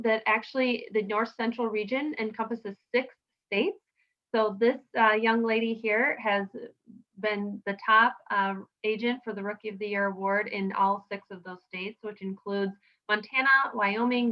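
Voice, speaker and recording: A woman hosting an online interview in an effusive, enthusiastic manner over a video call. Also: a little high. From Wisconsin. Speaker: interview host. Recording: dull, lo-fi video call audio.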